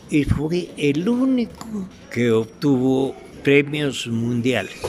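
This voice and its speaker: An older man with an Ecuadorian Spanish accent, reminiscing in an interview in a halting, deliberate way with pauses and repetitions. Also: mid-range voice, creaky, noisy background. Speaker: interviewee, veteran radio drama actor.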